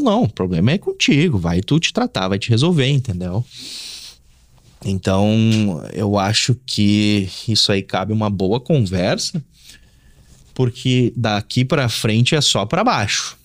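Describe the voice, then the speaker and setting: A man's voice: mid-range voice, no echo, studio quality. A man with a southern Brazilian accent giving casual, reassuring relationship advice as a host.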